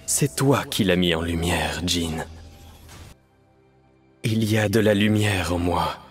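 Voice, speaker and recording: An actor playing a melancholic painter mage torn between light and darkness, speaking solemn, measured, poetic lines for a video game. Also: male voice, low voice, breathy edge, exaggerated voice. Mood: brooding, solemn. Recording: music behind the voice.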